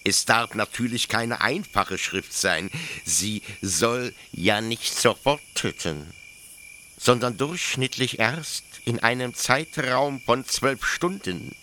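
An actor playing a zealous military officer who explains things eagerly, haltingly and pedantically in a German radio play. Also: male voice, medium pitch, animated, pushed and bright, slight background noise. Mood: amused, fanatical. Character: zealous male military officer proudly explaining his execution machine.

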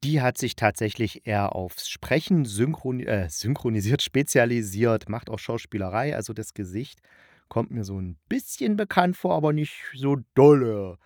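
A man's voice: medium pitch, lively intonation, moderate pace, a little mellow, no room sound, clean studio sound. A man hosting a podcast, giving a chatty review while thinking aloud and correcting himself. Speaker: podcast host and radio drama critic. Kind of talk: chatty, loosely scripted review monologue.

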